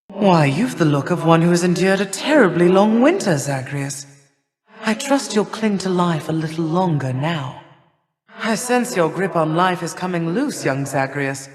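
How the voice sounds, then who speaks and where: A woman playing a stern, haughty elder goddess of winter in a video game, speaking in measured, formal, archaic phrasing. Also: lowish voice, in a large hall. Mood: coolly concerned. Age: older. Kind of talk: video game character voice lines.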